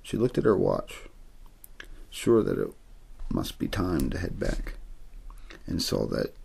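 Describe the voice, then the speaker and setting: A man reading a story in ASMR style, untheatrical and meant to lull listeners to sleep, with a low, gravelly, husky voice. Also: wildly swooping pitch, takes it slowly, a little mellow. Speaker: amateur story reader.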